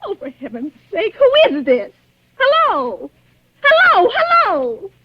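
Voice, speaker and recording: An actress playing a nervous, demanding, self-pitying bedridden wife in an old radio suspense drama, agitated and stammering. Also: female voice, very high voice, huge pitch swings, slow, full character voice. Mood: distraught. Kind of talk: 1940s radio suspense acting. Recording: dull vintage radio recording.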